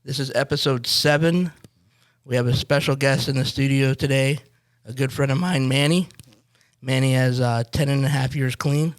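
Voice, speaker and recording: A man's voice: mid-range voice, fairly even intonation, normal speed. A man in his forties hosting a recovery podcast, friendly and rambling, often restarting his sentences. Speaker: podcast host. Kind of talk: casual interview.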